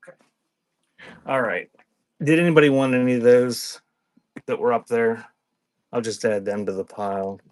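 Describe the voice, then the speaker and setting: A man's voice: mid-range voice, fairly even intonation, moderate pace, long pauses. A man hosting a live online sale, chatting informally and thinking aloud, often trailing off mid-sentence. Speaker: live online auction host selling cassette tapes. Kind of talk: casual rambling livestream chat.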